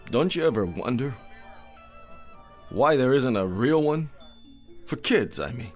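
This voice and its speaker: An actor playing a boozy, wistful store Santa in a radio drama, rambling with mock-courtly politeness. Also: male voice, medium pitch, expressive, measured pace, soft background music, close to an everyday voice.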